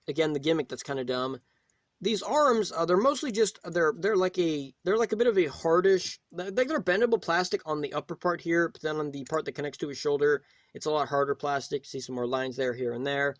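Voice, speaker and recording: A man hosting a casual, unscripted toy review, talking in a rambling, halting way and often rephrasing himself. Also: on the high side, no echo, clean studio sound. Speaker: toy reviewer, video host.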